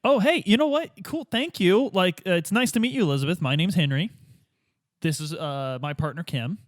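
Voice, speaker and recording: Slangy higher-voiced man playing a detective. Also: moderate pace, no room sound, clean studio sound. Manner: hesitant, slangy, laid-back. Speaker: tabletop game player playing a detective. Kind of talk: casual improvised role-play.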